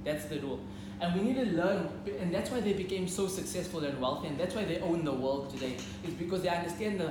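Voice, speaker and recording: A man in his twenties with a South African accent, giving an emphatic talk as a business speaker and often repeating key points. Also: on the high side. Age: 20s. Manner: emphatic, repeats key phrases, checks in with listeners. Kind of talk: live public talk to young entrepreneurs. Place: indoor lecture hall. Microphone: camera or phone a few metres away. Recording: very echoey lecture room, clear background noise.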